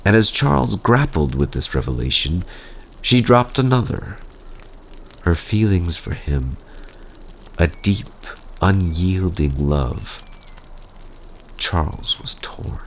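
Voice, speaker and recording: Man acting a deep-voiced student telling spooky tales. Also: animated, slight background noise, full character voice, poor audio. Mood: dark, dramatic. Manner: slow, dramatic, suspenseful storytelling. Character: young male student Luke telling a spooky campfire vampire tale. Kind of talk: scripted horror storytelling in an audio roleplay.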